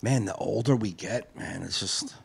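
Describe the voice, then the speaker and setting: A man's voice: lowish voice, normal speed, creaky, husky. A man in his late forties, a podcast host, talking in a rambling, profane, reflective way. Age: 40s. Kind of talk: casual chat.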